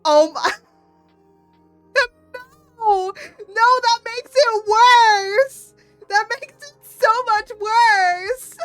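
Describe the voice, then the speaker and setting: A young woman streaming, her voice hoarse from laughing, breaking into giggles as she chats. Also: very high-pitched, very slow, long pauses, a bit bright, dry recording, faint music behind. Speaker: VTuber artist streamer. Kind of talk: casual live stream chat.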